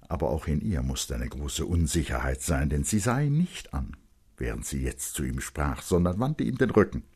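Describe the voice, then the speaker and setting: A man narrating literary prose dramatically, in a grave, measured and intense manner. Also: very deep voice, big pitch swings, darkish tone, breathy edge.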